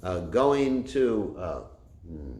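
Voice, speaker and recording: A man with a loud voice giving a religious class, telling a story with many fillers and restarts, recorded without a microphone. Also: low voice, sing-song, drawn-out words. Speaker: spiritual teacher. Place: class room with a kitchen nearby. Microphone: no microphone, room recording. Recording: echoey room.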